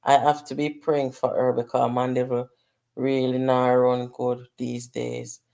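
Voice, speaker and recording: A woman's voice: a bass voice, flat delivery, measured pace, gravelly, warm tone. A middle-aged Jamaican woman hosting a casual livestream. She chats with viewers, reads out their comments and slips between patois and English. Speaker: livestream host.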